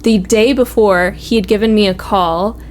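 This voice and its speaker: A woman's voice: medium pitch, moderate pace, a little mellow. Female, 20s, conversational podcast guest with an ordinary mid-range voice. Casual storytelling, light background noise. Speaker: university program administrator, guest. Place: office.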